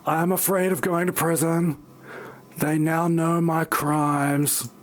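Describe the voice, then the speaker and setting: Man playing slow-spoken guilty executive. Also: a little high, little pitch movement, noisy background. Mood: grave confession. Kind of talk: amateur parody audio play.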